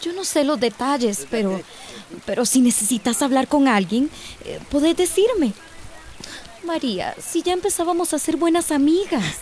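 A woman's voice: sits high, lively intonation, normal speed, a little mellow, slight background noise. An actress playing a caring, worried young woman in a radio drama, with a Costa Rican accent. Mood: gentle, soothing.

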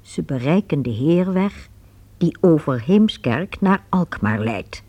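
Husky radio play narrator. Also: male voice, on the high side, no echo, muffled recording. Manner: measured, expressive. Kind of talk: scripted storytelling narration.

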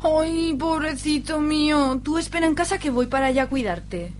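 High-voiced doting young girlfriend, acted by a woman. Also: normal speed, faint music behind, lightly acted. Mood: reassuring, doting. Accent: Spain. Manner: doting, melodramatic. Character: affectionate, excitable young girlfriend. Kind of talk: amateur comic radio soap acting. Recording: clear background noise with hum.

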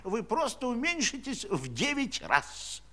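A man's voice: extremely high pitch, huge pitch swings, unhurried, sharp tone, full character voice. An actor playing a fussy, pompous, condescending old council chairman, a living number, in a theatrical children's audio play. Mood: condescending, needling.

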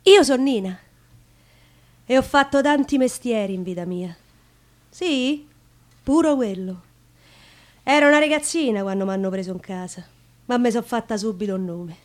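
Actress for frank, nostalgic Roman prostitute in stage monologue; fairly high female voice, earthy and confiding. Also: darkish tone. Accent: Roman Italian. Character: proud, frank, nostalgic Roman prostitute telling her story. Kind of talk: theatrical monologue.

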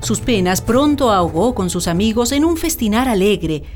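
A female narrator tells a scripted radio play story. Her voice sits at a medium pitch, with music faintly behind it. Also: very animated, moderate pace.

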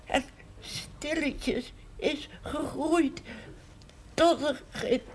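An actress playing a sick, brooding woman in a television drama, speaking haltingly. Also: high voice, fairly even intonation. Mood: brooding, pained.

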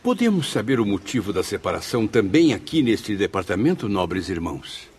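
An actor playing an earnest, respectful spirit pupil who speaks courteously and expressively in a radio drama. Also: male voice, fairly low pitch, sing-song, moderate pace, a little mellow, husky. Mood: curious, deferential. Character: earnest, respectful male spirit pupil recounting his afterlife. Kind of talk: radio drama acting and narration. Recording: music behind the voice.

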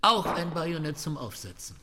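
An actor playing an enthusiastic police firearms expert in a radio drama, relishing technical details. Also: male voice, on the high side, sing-song, moderate pace. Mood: keen. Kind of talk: radio drama, conversational briefing. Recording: gunfire and clear noise behind.

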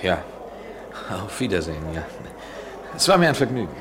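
Radio drama part: restless European man, low voice, poetic and wry, slightly noisy vintage recording. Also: very animated, normal speed. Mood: aloof. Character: restless, wry European traveller, aloof yet attracted man. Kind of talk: 1970s German radio play acting.

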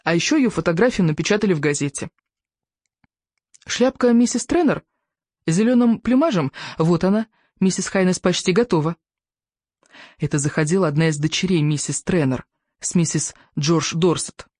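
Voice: mid-range voice, lively intonation, normal speed, pauses often, a little mellow, no echo, clean studio sound, natural voice. A narrator voicing a gossipy, opinionated young woman who works in a hat shop, chatty and exclamatory. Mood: eager gossip.

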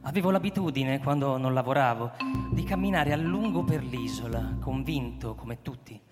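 An actor playing a reflective scientist recounting a secret mission, in measured, literary radio drama narration. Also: male voice, medium pitch, lightly acted. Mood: measured, intrigued. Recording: long reverb, music behind the voice.

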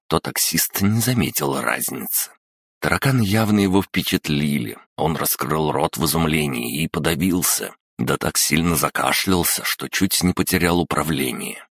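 Very deep-voiced male audiobook narrator. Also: normal speed, vocal fry, fairly bright tone, breathy edge, dry recording, clean studio sound. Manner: reads all characters himself.

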